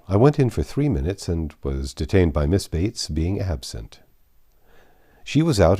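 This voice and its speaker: A man playing an embarrassed, earnest young gentleman suitor in a classic novel reading, speaking politely and haltingly. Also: deep voice, slightly rough, full character voice.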